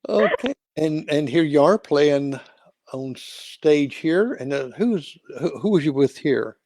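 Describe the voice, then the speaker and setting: An older man hosting an interview show, chatting casually and halting with fillers and restarts. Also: a little high, expressive, darkish tone, dry recording. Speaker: talk show host.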